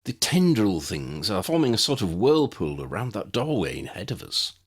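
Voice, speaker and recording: A man's voice: lowish voice, big pitch swings, moderate pace, slightly rough. A man voicing a proud, sardonic young male wizard in an audiobook, dry and clipped. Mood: wary.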